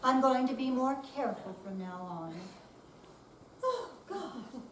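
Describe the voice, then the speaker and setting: A woman's voice: high voice, big pitch swings, a little slow. A woman playing a stubborn, sarcastic retired woman in a live readers theater piece, in an echoey room. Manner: sarcastic, grumbling. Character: feisty, stubborn, grumbling retired independent woman. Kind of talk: readers theater dramatic reading. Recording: echoey room, distant mic, faint noise.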